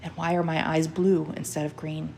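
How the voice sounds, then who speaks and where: A woman's voice: low voice, flat delivery, moderate pace, vocal fry, darkish tone, a little hiss or hum. Woman doing a frazzled thirtysomething woman. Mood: pleading.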